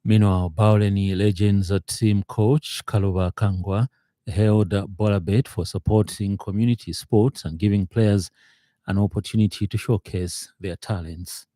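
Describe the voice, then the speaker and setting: Man, radio newsreader, low dark voice, dry studio sound, formal measured reading. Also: fairly even intonation, no room sound. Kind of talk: radio news bulletin reading. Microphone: studio microphone.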